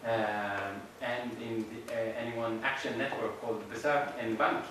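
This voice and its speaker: A man's voice: medium pitch, steady tone, moderate pace, a bit bright. A man activist introducing himself at a workshop, eager and repeating himself. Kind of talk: self-introduction. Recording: echoey room from far off, noisy.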